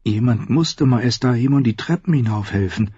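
A man's voice: mid-range voice, normal speed, mellow, slightly rough, no room sound, pitched above the performer's own voice. A man voicing a nervous young steward, anxious and defensive, in a slightly dull audiobook recording.

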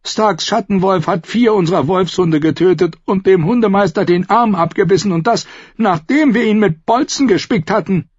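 Man acting a shrill, terrified pleading captive. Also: normal speed, a little mellow, breathy edge, dry recording, muffled recording. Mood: desperate, defensive. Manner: stammering, whining, shrill when panicked. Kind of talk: audiobook character voice.

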